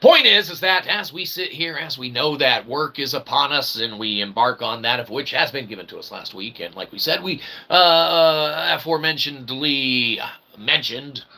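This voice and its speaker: A man delivering a rambling comic monologue as a channel host, mock-pompous and wordy, with a theatrical delivery and a gravelly voice. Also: medium pitch, moderate pace, sharp tone. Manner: mock-pompous, wordy, repeatedly ending phrases with "okay". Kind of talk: rambling philosophical comedy monologue.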